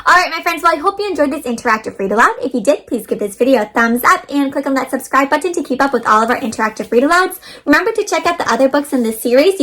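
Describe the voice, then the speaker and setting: High-pitched woman reading to children. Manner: animated, addresses children directly as friends. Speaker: host of interactive read-alouds for children. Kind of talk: expressive children's storybook read-aloud with questions to young listeners.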